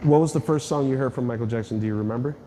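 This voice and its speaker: A man's voice: medium pitch, very fast, warm tone. A man hosting a podcast, talking casually with a guest, laughing a lot and often restarting his sentences. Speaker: podcast host. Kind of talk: casual interview chat. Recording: long reverb, faint ambient noise.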